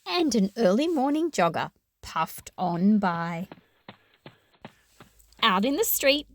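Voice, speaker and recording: A woman reads a storybook aloud at an unhurried pace, her fairly high voice rising and falling with lots of animation. She phrases it expressively for young listeners and explains as she goes. The sound is close and dry. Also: fairly bright tone, no echo. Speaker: children's picture book read-aloud host.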